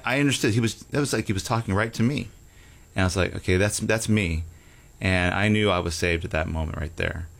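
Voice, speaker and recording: Male guest, very low pitch, halting conversational testimony. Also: creaky, a bit bright. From Houston, Texas. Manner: halting, with fillers and restarts. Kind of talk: casual testimony interview.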